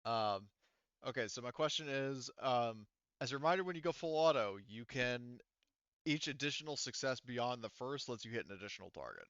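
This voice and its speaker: A man's voice: mid-range voice, expressive, moderate pace, fairly bright tone, no room sound, lo-fi sound. A man acting as game master of a tabletop roleplaying game, thinking aloud hesitantly as he works through the rules.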